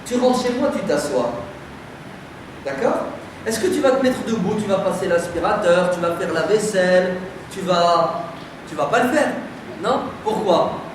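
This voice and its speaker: High-voiced man preaching in an echoey hall. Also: expressive, a little slow. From France. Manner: rhetorical questions to the crowd, emphatic and conversational. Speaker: preacher addressing a community audience. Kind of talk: lively lecture to a live audience. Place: community centre hall. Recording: very echoey hall, distant, noisy.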